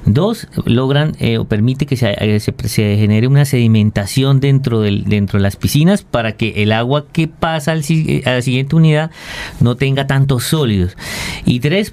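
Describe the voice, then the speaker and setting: A man's voice: medium pitch, a little mellow. A Colombian man explaining technical matters informally as an interview guest, hesitating and repeating words as he goes. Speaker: interview guest, waste treatment expert.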